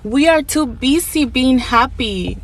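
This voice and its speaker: A woman's voice: high voice, animated, takes it slowly. A woman from the Dominican Republic giving a casual, dismissive rant to the camera in a lecturing tone.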